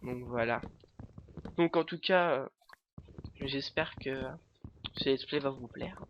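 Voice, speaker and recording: A man with a stuffy, congested nose narrates his game in loose, hesitant commentary, leaning on fillers as he goes. His voice sits at an ordinary middle pitch. The recording is dull and lo-fi, with a faint hiss underneath. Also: slow. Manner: hesitant, filler-heavy rambling. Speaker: let's play host. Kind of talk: casual gameplay commentary.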